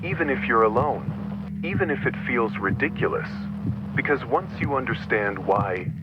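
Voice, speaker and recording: A man's voice: mid-range voice, lively intonation, moderate pace, natural voice. A man playing the urgent, commanding voice of an analog horror warning, speaking in short clipped sentences. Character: urgent male voice of an emergency warning, grim, commanding. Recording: muffled radio-like lo-fi, music underneath.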